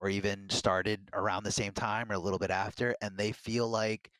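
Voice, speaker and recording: A man's voice: lowish voice, level tone, normal speed, a bit bright, no room sound, low-quality recording. A man who works as a game commentator, telling candid stories as a podcast guest. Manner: earnest, candid storytelling. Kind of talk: casual podcast conversation.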